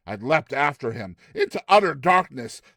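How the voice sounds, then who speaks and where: Man narrating with very animated pitch. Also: no echo, studio quality. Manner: theatrical, expressive, deliberate delivery. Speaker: narrator, reader. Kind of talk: dramatized storybook narration.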